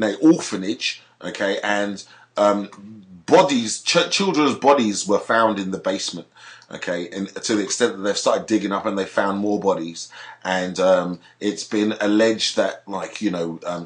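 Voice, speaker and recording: A man from Africa with a British accent, ranting in a screen-share commentary, profane and stumbling, with bursts of shouting. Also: fairly low pitch, unhurried. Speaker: video commentator.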